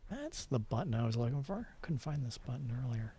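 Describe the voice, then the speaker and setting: A man's voice: medium pitch, big pitch swings, brisk, dark tone, a little hiss or hum. A man streaming a game, switching between playful chatter and theatrical story read-aloud.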